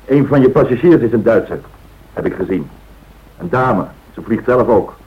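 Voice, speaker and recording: A man's voice: medium pitch, animated, moderate pace, breathy edge. An actor playing an authoritative male airline director in a radio drama, firm and businesslike. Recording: thin, phone-like old radio recording.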